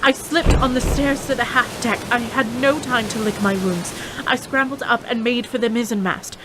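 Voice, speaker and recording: Young female adventurer played by an actress, higher voice, suspenseful measured storytelling for radio drama, clear background noise. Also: lightly acted. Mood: urgent, suspenseful. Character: brave, frightened young woman adventurer recounting her sea ordeal. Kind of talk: radio drama first-person narration.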